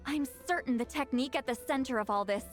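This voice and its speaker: High female voice, proud prickly shaman, acted by a woman, video game drama, insistent, sardonic, youthful despite great age. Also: quick pace, faint music behind, close to an everyday voice. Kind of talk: video game character acting.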